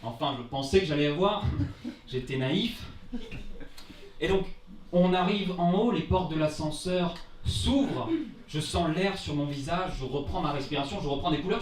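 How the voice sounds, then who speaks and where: A young man from France performs a comic story live on stage. His voice sits fairly high, and his delivery is theatrical, casual and self-deprecating. The sound is clearly roomy, picked up a little way from the microphone. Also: recorded from a distance. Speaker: amateur storyteller at a live travel-story event. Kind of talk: comic personal travel story told on stage. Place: indoor live storytelling event before an audience.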